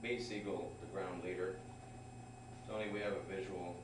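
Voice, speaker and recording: A man playing a tough, protective young mercenary at an informal table read, reading casually and a little haltingly. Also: deep voice, steady tone, lightly acted. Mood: urgent. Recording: echoey room, distant mic, noisy background.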